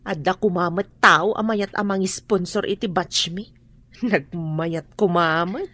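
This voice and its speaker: A woman's voice: fairly low pitch, slow, fairly bright tone, no room sound. A woman with a Filipino accent playing a sociable, inquisitive friend in a radio drama. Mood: curious, chummy.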